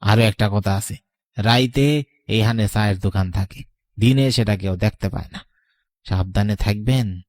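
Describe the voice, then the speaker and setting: A man's voice: fairly low pitch, moderate pace, pauses often, a little mellow, no echo, natural voice. Man voicing an earnest villager. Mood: cautioning. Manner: earnest, cautioning. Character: earnest, superstitious village man wary of witches. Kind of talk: horror cartoon dubbing.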